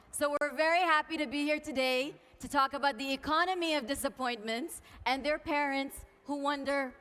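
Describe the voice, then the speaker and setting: A woman with a high, bright voice presents on stage, joking lightly before she turns emphatic. Her voice carries with a long reverb through a large hall. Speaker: podcast co-founder and CEO speaking on stage. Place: event stage.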